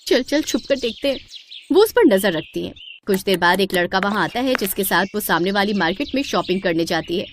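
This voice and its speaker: A woman's voice: mid-range voice, expressive, fairly quick, a little hiss or hum. A woman narrating a scripted moral story as the storyteller.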